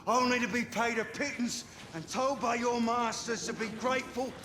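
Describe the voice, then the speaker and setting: An actor playing a defiant Victorian street orator in a game, impassioned and declamatory. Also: male voice, very high-pitched, moderate pace, noisy background. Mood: bitter, impassioned. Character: fiery Victorian rebel rabble-rouser, defiant street orator. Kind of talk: cinematic game speech.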